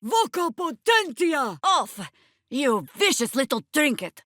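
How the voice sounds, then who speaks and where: An older female druid warrior from a fantasy video game, world-weary and wry. Her delivery is measured and grave yet commanding, with wide swings in pitch. The sound is close and dry, with no room on it. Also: big pitch swings, fairly bright tone, no echo, full character voice. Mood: fierce battle cries, then stern, commanding.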